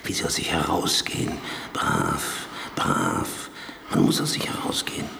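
An actor playing a vain, world-weary philosopher-writer in a radio drama, sardonic, pompous and speaking in provocative aphorisms. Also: male voice, a bass voice, sing-song, measured pace, creaky, pushed and bright, a little hiss or hum, exaggerated voice. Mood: mocking. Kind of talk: German radio drama acting.

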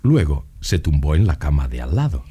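A man's voice: rumbling low, very animated, normal speed, dark tone, husky. A man narrating a children's story in a scripted storytelling style. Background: light music.

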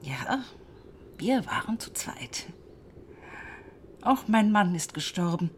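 Elderly widowed seamstress, gentle, dry, standard German, radio drama, unhurried, widely varied melody. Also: female voice, medium pitch, expressive, a little hiss or hum. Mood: wistful.

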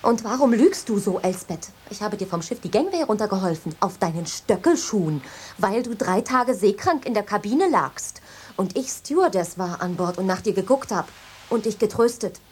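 An actress in a German radio drama playing a proud, defiant former ship stewardess who speaks plainly. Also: female voice, mid-range voice, lively intonation, normal speed, darkish tone, husky. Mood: reproachful.

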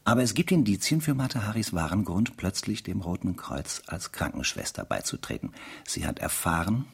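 Gravelly, very deep-voiced brooding investigator. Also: male voice, very animated, moderate pace, full character voice. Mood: pensive, sardonic. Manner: pensive, sardonic, rhetorical questions. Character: ruminating, sceptical male narrator weighing evidence. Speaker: narrator. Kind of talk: dramatised radio play narration.

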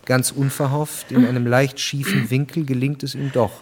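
An actor narrating a historical radio drama as a sober storyteller, measured and matter-of-fact. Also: male voice, mid-range voice, little pitch movement, a little mellow, breathy edge, natural voice.

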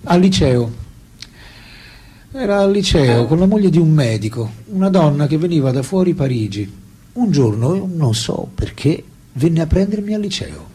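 An actor playing a candid, detached French intellectual man recalling his life in a radio drama, with a husky voice and reflective, hesitant delivery. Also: a little high, big pitch swings, moderate pace. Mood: candid, recollecting. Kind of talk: radio drama acting, memoir monologue and dialogue.

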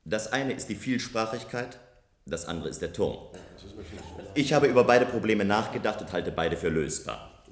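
An actor playing a self-assured visionary engineer in a German science fiction radio play, speaking in measured, persuasive statements. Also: male voice, on the low side, expressive. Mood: confident, persuasive. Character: self-assured visionary male engineer proposing a bold tower. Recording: roomy, echoing studio radio play sound.

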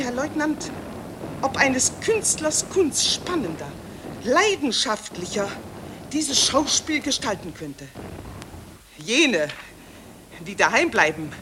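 A woman's voice: on the high side, background noise. An actress playing a gushing, self-important female war reporter in a satirical radio drama, declaiming in an affected, theatrical way. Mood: rapturous.

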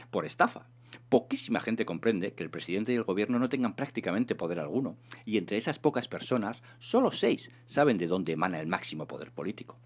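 A man with a fairly low voice narrates a comic science fiction novel. His reading is theatrical and emphatic, with highly animated intonation that rises and falls widely. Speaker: reader of a serialized humorous sci-fi novel. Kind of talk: expressive scripted narration, audiobook reading.

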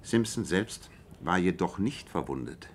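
A low-pitched, self-assured detective in a radio play. He lays out his reasoning in a measured, precise way, over a bed of light background noise. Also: animated. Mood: analytical, assured. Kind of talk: German radio play dialogue.

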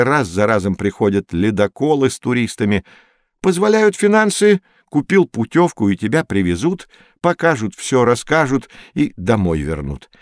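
An actor playing a learned older mentor who explains things to children in a scripted educational audio play. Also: male voice, medium pitch, dry recording, studio quality, close to an everyday voice. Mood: explanatory. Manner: didactic, expressive, storytelling.

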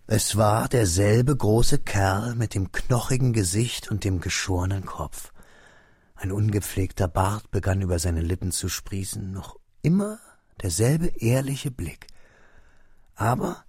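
A man narrating a literary radio drama in a measured, reflective way, as a young man recalling a friend, in a husky, creaky voice. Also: on the low side, darkish tone, dry recording. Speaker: first-person narrator.

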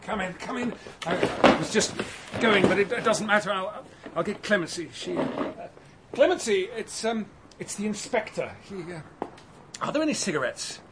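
High-voiced, flustered, stammering man. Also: animated, moderate pace, very noisy. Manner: stammering, apologetic, agitated. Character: flustered, devoted, emotional grieving son and husband. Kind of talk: radio drama acting.

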